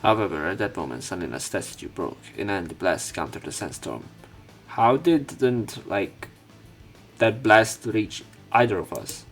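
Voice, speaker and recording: A man's voice: lowish voice, lively intonation, unhurried, soft background music. A man doing a dramatic read-aloud of a visual novel as a let's play narrator, with some commentary.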